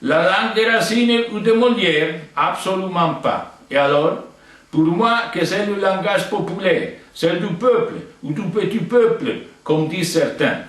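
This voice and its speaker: A man's voice: high voice, expressive, a little slow, a bit bright. An older man who grew up speaking Italian, with an Italian accent, bluntly and indignantly reading out an open letter. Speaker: video letter writer. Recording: echoey, distant from the mic.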